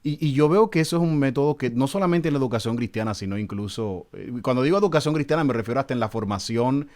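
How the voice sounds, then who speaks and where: A man's voice: medium pitch, animated, fast, darkish tone. A man, a pastor hosting an interview, asking questions courteously and often restarting and repeating words. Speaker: pastor and host.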